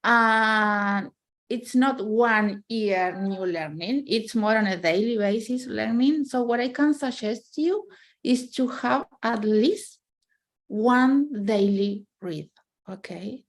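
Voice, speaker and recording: A woman's voice: on the high side, takes it slowly. A woman in her thirties from Argentina, speaking English with an Argentine Spanish accent as a second language, earnestly giving career advice in a casual chat and often checking in with "right?". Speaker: software engineer guest.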